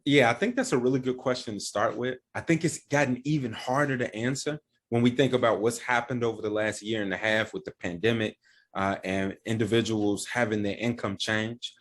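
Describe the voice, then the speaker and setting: Guy walking you thru retirement money stuff, easygoing, mid-pitch voice, breaks it down simple. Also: fairly even intonation, a little fast, fairly bright tone. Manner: plain-spoken, walks through examples step by step. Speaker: financial advisor and firm founder. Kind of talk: explaining retirement planning to camera.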